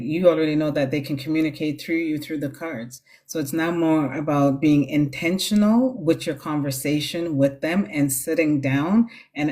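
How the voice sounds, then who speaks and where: A woman's voice: sits low, darkish tone. A woman hosting a casual live stream, giving spiritual guidance in a reassuring, chatty way. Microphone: phone on a live stream.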